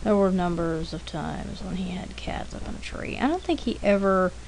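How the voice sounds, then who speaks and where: A woman casually telling stories as she narrates an art demonstration, unscripted and rambling, with halting self-corrections. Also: fairly low pitch, expressive, darkish tone. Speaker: artist narrating a drawing demonstration. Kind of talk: casual unscripted storytelling over an art demo. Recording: clearly noisy homemade recording.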